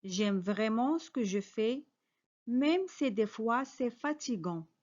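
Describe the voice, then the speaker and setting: High-voiced teacher woman drilling phrases. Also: animated, takes it slowly, a little mellow, dull audio. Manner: careful, clearly spoken model sentences, each repeated. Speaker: French teacher. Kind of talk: slow repeated phrase drills with quick translations.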